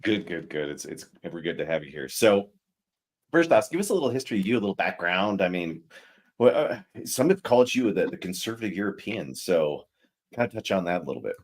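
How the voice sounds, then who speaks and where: A man who co-hosts and produces a podcast, speaking in a lively announcer style. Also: low-pitched, fairly quick. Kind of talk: podcast intro and casual chat.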